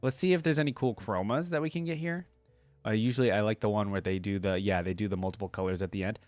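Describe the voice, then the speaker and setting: A man's voice: fairly low pitch, fast talker, darkish tone, no echo, soft background music. A man hosting a reaction channel, commenting casually and thinking aloud in an informal way, sometimes reading out text.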